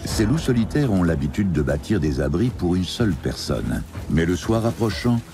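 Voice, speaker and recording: A man reading a dubbed documentary narration in a measured voice-over. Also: deep voice, big pitch swings. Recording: voice-over under loud music.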